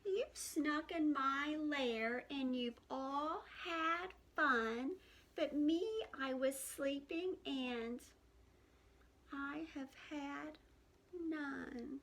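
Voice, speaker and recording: A woman voicing a grumpy, sulking big male bear in a children's storybook reading, complaining slowly. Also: high-pitched, fairly bright tone, pitched above the performer's own voice, full character voice. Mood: sulky.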